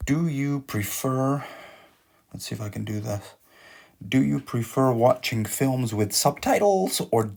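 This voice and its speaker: A man's voice: lowish voice, creaky. A man who speaks English as his first language, teaching an exam-prep lesson in a musing, hesitant way with many fillers. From English-speaking country.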